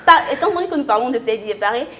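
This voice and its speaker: High-voiced enthusiastic young woman presenter. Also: expressive, racing, a loud crowd. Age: young adult. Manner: enthusiastic, emphatic repetition. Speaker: co-host presenting a fashion segment. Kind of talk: presenting studio chat.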